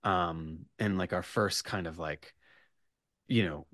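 A man chatting casually as a writer guest on a podcast, in halting bursts with repeated starts and asides. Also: deep voice, a bit bright, dry recording, clean studio sound. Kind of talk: animated casual interview chat.

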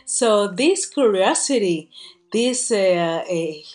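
A woman co-hosting a podcast who speaks English as a second language, often pausing with "uh" as she searches for words. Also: fairly high pitch, darkish tone. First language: not English. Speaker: podcast co-host. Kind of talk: casual interview hosting.

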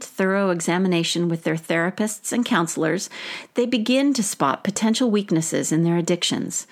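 A woman reading a personal essay aloud in measured, deliberate literary phrasing. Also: mid-range voice. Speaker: author and teacher.

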